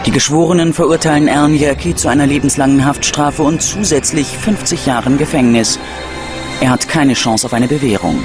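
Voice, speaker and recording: A man narrating a scripted true-crime documentary in a measured, dramatic way. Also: medium pitch, over music.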